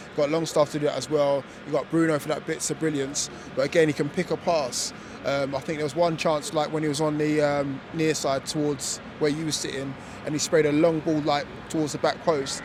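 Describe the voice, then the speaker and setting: A man giving chatty football opinions outdoors, full of fillers and tag questions. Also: a little high. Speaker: fan pundit. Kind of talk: casual football chat. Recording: outdoor traffic noise.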